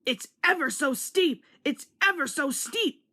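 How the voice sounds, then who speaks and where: A woman voicing an eager, boastful new male steam engine in an excitable storybook style. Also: high-pitched, a little slow, pitched above the performer's own voice, full character voice. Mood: eager, straining. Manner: excitable, puffing repeated phrases.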